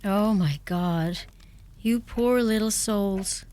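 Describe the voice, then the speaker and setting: A woman's voice: mid-range voice, takes it slowly, dark tone, slight background noise, natural voice. Self-possessed young woman played by an actress. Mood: curious, sympathetic. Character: self-possessed, tender young American bride-to-be. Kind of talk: radio play acting.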